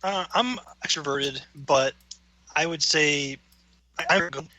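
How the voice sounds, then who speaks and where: A man on a talk show, chatting in a relaxed, easygoing way. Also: a little high, slow pace, dry recording, poor audio. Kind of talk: casual conversation.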